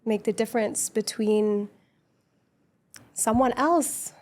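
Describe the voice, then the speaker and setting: Soft gentle woman on a podcast, kinda high voice, earnest and chatty. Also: slow pace. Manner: earnest, reflective chat with frequent laughs. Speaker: podcast guest. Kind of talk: casual podcast conversation.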